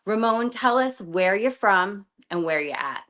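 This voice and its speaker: A woman's voice: mid-range voice, lively intonation, a little slow, a bit bright. A woman hosting a candid, slangy interview chat. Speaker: podcast host.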